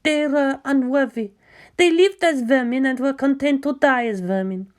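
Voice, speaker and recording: Young zealot follower, female, woman performer: high-pitched, measured, bitter and disdainful, for scripted audio fiction. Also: a little mellow, close to an everyday voice. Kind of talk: scripted audio drama reading.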